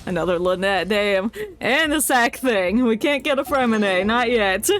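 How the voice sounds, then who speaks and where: A woman's voice: mid-range voice, animated, measured pace, fairly bright tone. A woman chatting casually as she streams a game, with a playful, mock-dramatic manner. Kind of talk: casual livestream gameplay chat.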